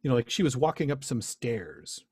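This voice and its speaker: A middle-aged man talks through a workshop presentation in an earnest, thoughtful way. His voice sits at an average male pitch with a rough, husky edge, and the sound around it is dry. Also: sing-song, normal speed, darkish tone, dry recording. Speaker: presenter, teacher.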